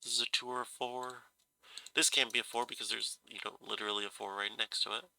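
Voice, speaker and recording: Stuffy, gravelly man thinking aloud. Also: medium pitch, little pitch movement, fast, sharp tone, dry recording, poor audio. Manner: hesitant, musing, self-correcting. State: stuffy nose, slightly scratchy voice from a cold. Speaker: puzzle solver. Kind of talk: casual thinking-aloud puzzle solving.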